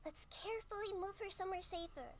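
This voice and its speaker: A woman voicing a tiny, eager, childlike companion in an animated video game, earnest in manner. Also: extremely high pitch, quick pace, a little hiss or hum. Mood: gentle, curious.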